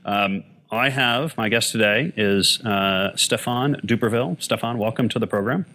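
A man's voice: on the low side, moderate pace, sharp tone. A man hosting an interview podcast, chatting loosely with many fillers and restarts. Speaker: podcast host. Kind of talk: casual interview chat.

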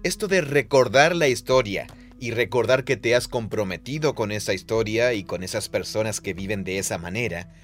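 A man's voice: lowish voice, expressive, moderate pace, few pauses, faint music behind. A man co-hosting a podcast, explaining scripture in conversation and quoting passages aloud. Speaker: podcast co-host, Bible teacher. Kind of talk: conversational teaching.

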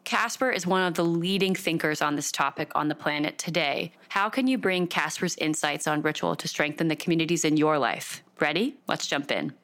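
A woman's voice: sits low, fairly bright tone. Upbeat woman hosting a podcast. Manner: upbeat, quick to laugh and react. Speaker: podcast host. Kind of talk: podcast interview with scripted intro.

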